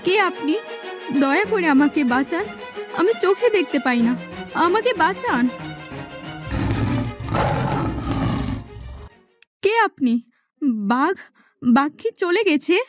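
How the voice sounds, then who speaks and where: High-pitched, pleading young princess. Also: female voice, expressive, unhurried, long pauses, music in the background. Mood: frightened. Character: gentle young blind princess, pleading and fragile. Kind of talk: Bengali fairy tale cartoon dubbing.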